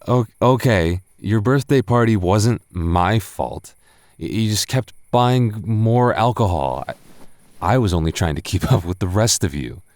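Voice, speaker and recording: A man's voice: fairly low pitch, animated, slow pace, darkish tone, dry recording, studio quality. A man playing a tipsy, teasing young roommate with a crush, stammering through an intimate roleplay audio in a hesitant drawl. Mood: defensive, rambling. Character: tipsy, teasing, smitten big male roommate, young adult.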